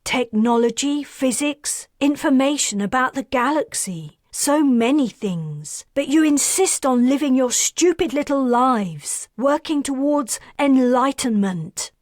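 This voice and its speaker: A woman voicing a furious young human bird keeper on a starship, shouting and raging. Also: fairly high pitch, measured pace, dry recording, studio quality, voice pushed up. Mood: exasperated.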